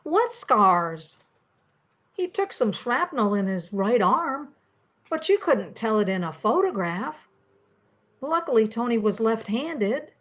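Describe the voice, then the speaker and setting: A woman's voice: a little high, big pitch swings, slow, fairly bright tone, heavily acted. An older woman playing a polite but firm grandmother in an amateur radio play. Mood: firm, doubting. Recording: slightly dull, lo-fi sound.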